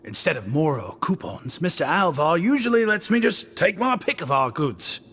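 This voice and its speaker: Animated, affable young game shopkeeper. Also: male voice, on the high side, normal speed, in a large hall, slight background noise. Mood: friendly, generous. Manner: effusive, breezy, self-deprecating. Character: affable, chatty, flattering male stand-in shopkeeper. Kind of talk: video game voice acting.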